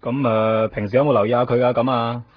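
An actor playing a casual, probing Hong Kong police constable in a 1990s Cantonese radio drama. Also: male voice, mid-range voice, flat delivery, brisk, no echo, lightly acted. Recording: dull lo-fi radio recording, faint noise.

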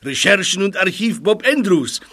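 Emphatic club-president type for audio drama, played by a man: sharp, slightly high voice, declamatory. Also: animated, normal speed, full character voice. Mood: impressed. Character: brisk, opinionated adult gentleman, club president. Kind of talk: German audio drama acting.

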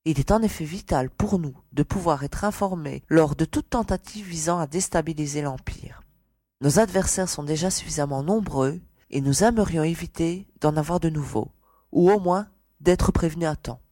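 A woman's voice: low-pitched, moderate pace, warm tone, dry recording. A woman playing a composed envoy of a secret organisation in a science-fiction audio drama, formal and diplomatic. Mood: composed, candid.

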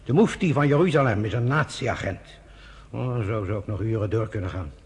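Husky, urbane senior official. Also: male voice, fairly low pitch, expressive, full character voice. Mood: pragmatic. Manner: urbane, deliberate, confiding. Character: pragmatic, avuncular senior British official, weary of politics. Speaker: actor in a radio drama. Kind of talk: Dutch radio play acting.

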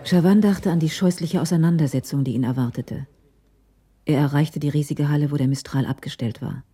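A woman's voice: sits low, a little fast, mellow, slightly rough. A woman giving scripted narration in a radio crime drama.

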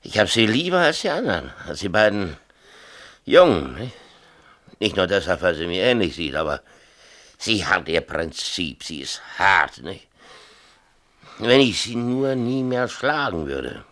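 A man's voice: low voice, very animated, unhurried, pauses often, fairly bright tone, exaggerated voice. An actor playing a tipsy, middle-aged small-town mayor and father, ranting confessionally and seeking agreement in a radio drama. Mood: agitated, confiding. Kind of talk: 1970s East German radio drama acting.

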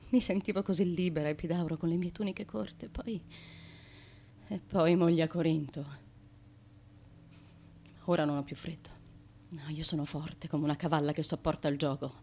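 A low woman's voice in radio theatre, over faint background noise. She is a tyrant's devoted wife, fragile and bewildered. Her delivery is theatrical, swinging from hushed to insistent. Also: fairly even intonation, normal speed, pauses often. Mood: anguished. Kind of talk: Italian radio theatre acting.

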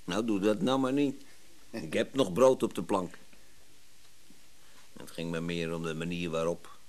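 An actor playing a wary, aggrieved antiques dealer in a radio play, blunt and sardonic. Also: male voice, low-pitched, steady tone, unhurried, darkish tone. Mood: aggrieved, guarded.

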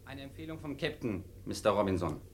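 A man's voice: medium pitch, very animated, brisk. A polite male sailor with a mid-range voice. He addresses his betters formally and deferentially, in 1960s radio drama style. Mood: polite, businesslike.

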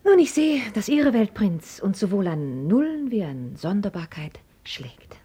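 Mellow, husky, witty young heiress. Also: female voice, mid-range voice, sing-song, measured pace, no room sound. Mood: wry, amused. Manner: wry, articulate, playfully formal. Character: outspoken, witty young heiress, teasing and self-mocking. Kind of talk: German radio drama acting.

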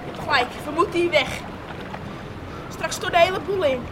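A high-pitched, determined flood victim, practical and urgent in a radio drama. The voice fights through very loud water noise. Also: female voice, fairly quick. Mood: grimly practical. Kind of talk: Dutch radio play acting.

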